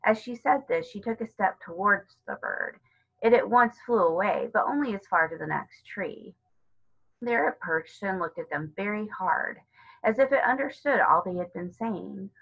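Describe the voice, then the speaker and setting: A woman's voice: mid-range voice, animated, moderate pace, gravelly, a bit bright, slightly rough, lo-fi sound. A woman narrating a children's novel as a storybook reader.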